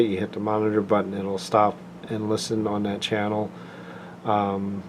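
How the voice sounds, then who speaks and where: Low-voiced man giving an informal product review. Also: darkish tone, a little hiss or hum. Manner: halting, unscripted, plain-spoken explanation.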